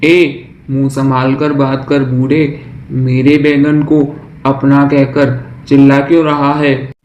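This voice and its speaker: A man acting a slow, taunting village schemer. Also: mid-range voice, flat delivery, darkish tone, dull audio, natural voice. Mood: brazen denial. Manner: mocking, brazen, drawn-out taunting. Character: greedy, insolent, scheming younger village man. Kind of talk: animated moral story acting. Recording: echoey room, faint background noise.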